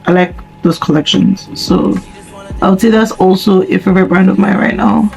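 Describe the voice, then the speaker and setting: A young woman from Nigeria with a husky voice, chatting casually and rambling with slang and deadpan humor while answering viewer questions. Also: medium pitch, moderate pace, faint music behind, poor audio. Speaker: vlogger. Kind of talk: casual chat while doing makeup.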